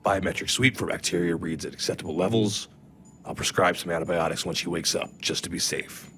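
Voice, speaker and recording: Man as a sardonic doctor, very low voice, matter-of-fact, faint background hum in the audio drama. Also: steady tone, a little fast, fairly bright tone. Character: dry, sardonic male doctor.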